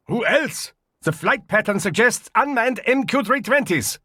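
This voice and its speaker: High-pitched, husky German scientist. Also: male voice, lively intonation, measured pace, pushed and bright, no room sound, clean studio sound, exaggerated voice. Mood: urgent, alarmed. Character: brainy, wry German scientist and helicopter pilot. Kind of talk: video game cutscene acting.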